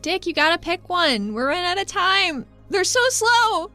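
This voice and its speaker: A woman's voice: extremely high pitch, very animated, normal speed, bright, forceful tone, faint music behind, voice pushed up, full character voice. A woman voicing a caring young girl who fusses over the younger kids like a worried big sister. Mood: impatient.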